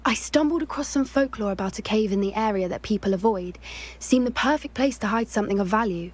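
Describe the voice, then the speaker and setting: An actress playing an earnest young female student who loves magical creatures, speaking in a soft, thoughtful, sometimes hesitant way in video game dialogue. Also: on the high side, slightly rough. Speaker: game character.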